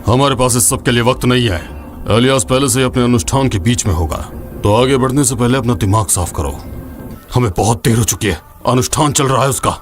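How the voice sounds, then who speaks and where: A man's voice: medium pitch, fairly quick, close to an everyday voice. A man dubbing a world-weary, sardonic older mentor figure in a fantasy game film. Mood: urgent. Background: dramatic background music.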